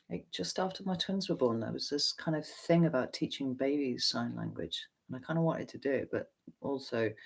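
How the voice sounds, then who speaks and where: A man's voice: lowish voice, vocal fry, darkish tone, slightly rough. An English man with a neutral accent, a tarot reader chatting candidly and rambling through viewer questions on a livestream. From England. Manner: rambling, candid, casual swearing. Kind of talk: livestream Q&A chat.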